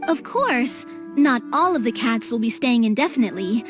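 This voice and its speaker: Casting: woman to play anxious, eager young game heroine, high voice, earnest and slightly flustered, faint music underneath. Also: lively intonation, moderate pace, lightly acted. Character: earnest, anxious young female cat-shelter founder. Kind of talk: English video game voice acting.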